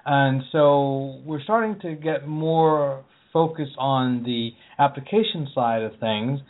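A man hosting a radio talk show, opening the show and interviewing a guest in a conversational, friendly way. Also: mid-range voice. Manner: conversational, friendly, with occasional fillers. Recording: phone-line audio.

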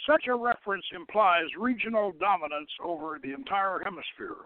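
A man's voice: high voice, lively intonation, normal speed, no room sound, pitched above the performer's own voice. A man voicing a sardonic, condescending bureaucrat of a dystopian future in a dramatic reading, lecturing in an officious manner. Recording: narrowband radio-like sound, dry.

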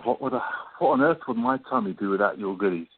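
A man's voice: lowish voice, animated, fast, slight background noise, close to an everyday voice. A man playing a joking, boyish friend who is awkward and nervous in love, in an amateur radio play. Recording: thin phone-like line.

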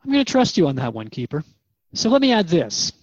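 A man's voice: a little high, huge pitch swings, a little fast, dry recording, lo-fi sound. A man hosting a radio interview program, conversational and reflective as he addresses listeners and his guest. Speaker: radio host.

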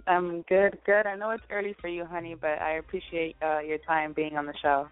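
A woman's voice: lowish voice, level tone, a little fast, clear, resonant tone, soft background music. Chatty woman radio host on a phone line. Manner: chatty, many fillers and restarts, laughs often. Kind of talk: casual radio interview chat. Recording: tinny phone-line sound, dry.

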